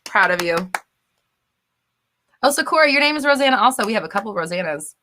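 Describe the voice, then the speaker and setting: A woman's voice: on the high side, lively intonation, fast talker, bright, forceful tone. Bubbly woman chatting live with viewers. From United States. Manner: bubbly, laughs often, reads out viewer comments. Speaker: livestream host. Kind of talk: casual live chat reading comments.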